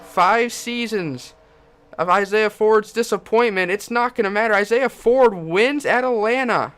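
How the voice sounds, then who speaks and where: A young man giving excited play-by-play commentary on a video game car race and hosting his channel, getting hoarse as he goes. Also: sits high, a little slow. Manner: builds to shouting excitement at the finish.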